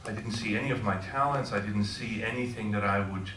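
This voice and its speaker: A man's voice: lowish voice, a little fast, distant mic, noisy background. A middle-aged man from Switzerland, a professor, giving an informal talk and asking the audience questions. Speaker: guest speaker. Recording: echoey room, voice heard from afar.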